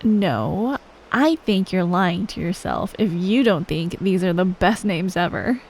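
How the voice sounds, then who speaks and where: A woman playing a shy, awkward young best friend in an ASMR roleplay, hesitant and soft-spoken, speaking straight to the listener. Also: lowish voice, animated, a little slow, slight background noise, low-quality recording. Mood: playful. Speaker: audio roleplay performer.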